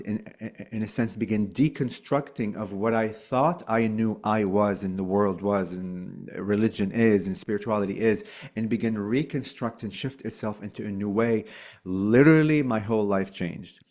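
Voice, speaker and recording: A man in his forties, born in Lebanon, talking as a guest in a casual interview, loose and animated with frequent "like" and "um" fillers. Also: lowish voice, moderate pace. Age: 40s.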